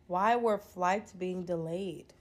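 A woman's voice: lowish voice, slow. Emphatic, profane young woman. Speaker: social media commentator. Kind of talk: casual conspiracy commentary to camera. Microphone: phone held close, selfie framing.

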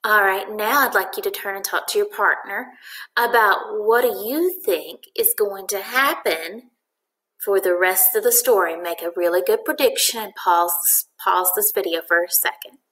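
A woman's voice: medium pitch, moderate pace, fairly bright tone, low-quality recording. A woman teacher giving instructions to her young class in a recorded lesson. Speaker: elementary school teacher.

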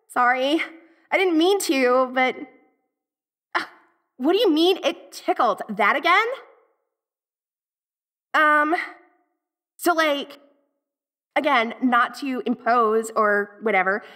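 A woman's voice: high-pitched, sharp tone. A woman playing a dorky tsundere ghost girl from the eighties in an audio roleplay, with an American valley-girl accent and a gushing, hesitant, slangy manner. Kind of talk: audio roleplay acting, speaking directly to the listener.

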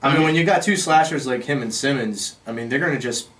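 A man's voice: mid-range voice, fast talker, a bit bright. An American man casually discussing sports as a podcast panelist, recorded on a studio microphone. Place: small indoor podcast studio. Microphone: boom-arm studio microphone.

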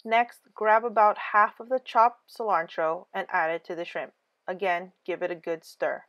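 A woman giving a scripted cooking voice-over, calm clear step-by-step instructions for a home recipe. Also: fairly low pitch, moderate pace, highs cut off. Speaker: cooking channel host.

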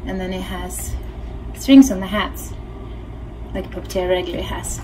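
A woman's voice: lowish voice, flat delivery, normal speed, dark tone. A middle-aged woman from Norway with a Norwegian accent, giving a casual craft tutorial and thinking aloud as she demonstrates. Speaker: puppeteer teaching a craft workshop. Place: small home studio, indoors. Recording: clearly noisy home studio recording.

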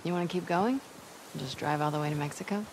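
A woman's voice: low voice, fast. Blunt young woman, noisy backdrop. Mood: dry, curious. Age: young adult. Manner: casual, dry, questioning. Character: blunt, teasing young woman, skeptical of her companion. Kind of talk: naturalistic video game acting.

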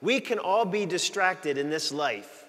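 Middle-aged male priest, fairly high voice, relaxed joking sermon delivery, long church reverb. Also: unhurried. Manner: chatty, joking with the congregation. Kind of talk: conversational sermon. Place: large church, walking the aisle before the altar.

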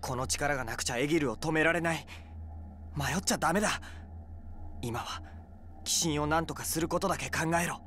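A young man acting an earnest, burdened young swordsman hero in a Japanese game cutscene, soft-spoken and introspective. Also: on the high side, fairly quick, pauses often, a little hiss or hum, lightly acted. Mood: resolute.

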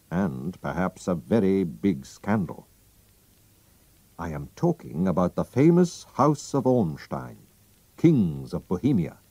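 A man's voice: fairly low pitch, dark tone, dry recording, pitched above the performer's own voice, exaggerated voice. A man voicing a proud, anxious king who speaks formally and commandingly. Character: German-accented royal visitor, proud king, anxious.

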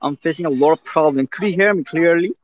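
A man's voice: on the high side, fast talker. A young male student from Bangladesh introducing himself politely over a phone without a proper microphone. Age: young adult. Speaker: student guest. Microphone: phone without a headset mic. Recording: dull lo-fi phone audio.

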